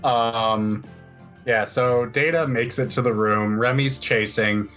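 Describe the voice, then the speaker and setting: A man's voice: medium pitch, fairly even intonation, takes it slowly, fairly bright tone, faint music behind. A man acting as game master, casually narrating a tabletop role-playing game and talking with the players.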